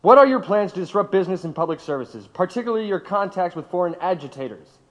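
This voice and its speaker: Male federal interrogator, clipped, official, insistent, highish voice, reverberant slightly muffled sound, audio drama. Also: animated, quick pace, a bit bright. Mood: stern, insistent. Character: stern, polite 1919 government agent interrogating a radical.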